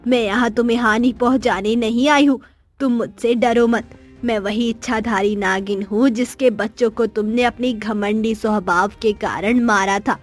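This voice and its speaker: A woman voicing a stern but forgiving shape-shifting serpent queen in a cartoon fable, measured and commanding. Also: a little high, fairly even intonation, faint music behind, pitched below the performer's own voice, natural voice. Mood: stern, calm. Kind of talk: Hindi cartoon moral story acting.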